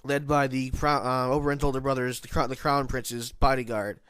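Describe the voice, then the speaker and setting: A man's voice: mid-range voice, little pitch movement, moderate pace, a bit bright, clear, resonant tone, no room sound. A man with a nasal voice, congested from hay fever, giving a casual, rambling spoken review of a TV episode. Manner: rambling, many restarts and self-corrections.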